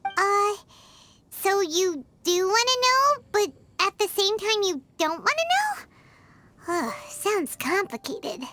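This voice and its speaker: A woman voicing a small, chatty, earnest fairy companion in a video game, with a squeaky childlike voice and an expressive, inquisitive manner. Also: takes it slowly, a little hiss or hum, exaggerated voice. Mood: puzzled. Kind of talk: anime-style video game voice acting. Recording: music behind the voice.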